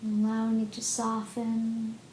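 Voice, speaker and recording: A woman leading a guided meditation as a teacher, speaking gently and unhurriedly with long pauses in an indoor room. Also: completely flat delivery. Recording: roomy echo, faint rumbling background noise.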